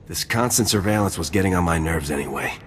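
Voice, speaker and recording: Deepish, curt, cynical male soldier. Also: lively intonation, quick pace, a bit bright, in a large hall, a little hiss or hum. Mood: cocky. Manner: curt, insolent, cocky. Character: brash, cynical male army lieutenant. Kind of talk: scripted game dialogue.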